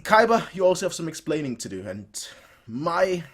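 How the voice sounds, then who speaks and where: A man's voice: fairly high pitch, sing-song, moderate pace. Casual man repeating himself in amused commentary. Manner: repeats phrases, stammering emphasis. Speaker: reaction video host. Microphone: desktop webcam setup.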